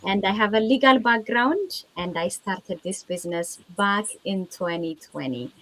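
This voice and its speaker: Composed woman from Ethiopia, mid-pitched. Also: lively intonation, unhurried, fairly bright tone. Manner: clear, composed storytelling with repetition for emphasis. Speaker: guest business owner. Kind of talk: live online testimony. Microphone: video call.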